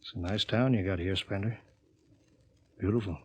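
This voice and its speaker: An actor playing a steady, reasoning rocket crew captain in a 1950s radio drama, terse and measured. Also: male voice, low-pitched, a little hiss or hum, lightly acted. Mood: grave, regretful. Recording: old 1950 radio recording.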